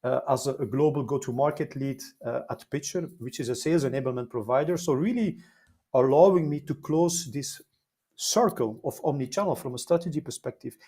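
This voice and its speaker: A man's voice: medium pitch, fairly quick, warm tone, slightly rough. Enthusiastic Flemish-accented man. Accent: Flemish Belgian. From Belgium, Flemish-speaking side. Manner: enthusiastic, with frequent fillers and repeated words. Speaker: guest, life science consultant. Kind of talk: conversational interview, career story.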